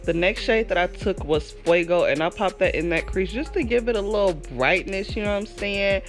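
A woman's voice: mid-range voice, moderate pace. Young female beauty vlogger, stuffy from a cold, chatty and upbeat, music behind her. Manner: chatty, upbeat, talks to viewers as friends. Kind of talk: casual makeup tutorial. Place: bedroom, indoors. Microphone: camera facing her at close range.

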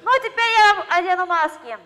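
A woman's voice: extremely high pitch, fairly quick, sharp tone. An actress playing an officious young woman who runs party games in a Soviet radio play, with bossy, stilted announcer phrasing. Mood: insistent. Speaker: evening entertainer. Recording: noisy crowd behind. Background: noisy crowd in a hall.

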